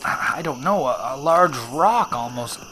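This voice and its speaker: A man playing a polite, anxious gentleman doctor in an audio drama, speaking hesitantly. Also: fairly high pitch, animated, measured pace, background noise. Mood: shaken.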